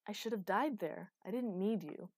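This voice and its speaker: A woman's voice: mid-range voice, animated, takes it slowly, dark tone, no room sound, pitched above the performer's own voice. Lady reading as a remade metal warrior guy, angry and anguished, storybook style, voice pushed up, really dry sound. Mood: furious.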